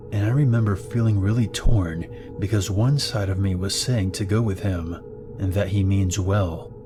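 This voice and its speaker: A man calmly narrating true scary stories in a steady, scripted storytelling style. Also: low voice. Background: background music.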